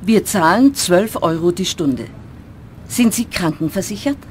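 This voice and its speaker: A woman voicing a lively businesslike office manager. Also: fairly low pitch, unhurried, a little hiss or hum. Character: woman running a travel agency office. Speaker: employer in textbook dialogue. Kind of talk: scripted textbook dialogue.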